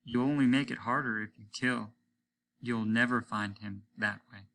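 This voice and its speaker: Man playing gentle male mayor, mid-range voice, calm, measured, pitying, unyielding, storybook character. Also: medium pitch, natural voice. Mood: gently pitying.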